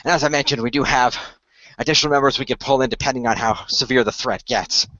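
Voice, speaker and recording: A man playing an earnest team leader who explains things in a measured way in a radio play, on dull audio. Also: mid-range voice, pushed and bright, no room sound, natural voice. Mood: grave, explaining. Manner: measured, expository, sighing.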